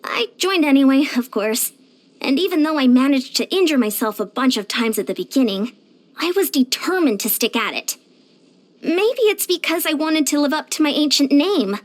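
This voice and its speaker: A very high, lively voice for a small but determined fitness coach in a video game. She speaks, chatty and confident, with pitch that moves around a lot. Her delivery is earnest and plainspoken. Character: short, determined, energetic young female fitness coach. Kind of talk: animated video game character voice lines.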